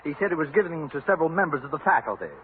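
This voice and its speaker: A man's voice: on the high side, animated, rapid-fire, close to an everyday voice. An actor playing a prim, fussy male department store clerk in a 1950s radio comedy. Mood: earnest. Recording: narrow, noisy 1950s radio, studio audience.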